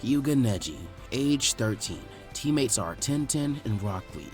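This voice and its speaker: A man voicing a calm, smug older teenage ninja who talks smoothly and condescendingly in a story reading. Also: mid-range voice, unhurried, soft background music, close to an everyday voice. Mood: matter-of-fact. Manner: smooth-talking, placating, condescending.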